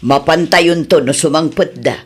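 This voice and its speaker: An actress playing a middle-aged village aunt in an Ilocano radio comedy-drama, chatty and expressive, with a Filipino accent. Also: female voice, low voice, normal speed, breathy edge.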